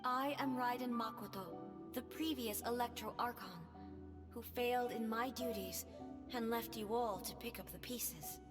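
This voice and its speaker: An actress playing a gentle, affectionate female former goddess who speaks softly with a light teasing touch in a game cutscene. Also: a little high, normal speed, long reverb tail, music in the background, close to an everyday voice. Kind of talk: video game cutscene voice acting.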